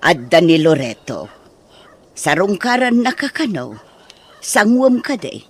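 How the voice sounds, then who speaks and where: Husky, slow Ilocano parent, acted by a man. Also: high voice, very animated, full character voice. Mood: coaxing, concerned. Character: concerned, coaxing parent of grown daughter. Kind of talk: Ilocano radio drama acting.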